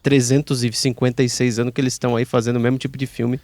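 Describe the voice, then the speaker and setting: A man's voice: mid-range voice, level tone, quick pace, darkish tone, no room sound. A Brazilian man talking casually as a participant on a pop-culture podcast.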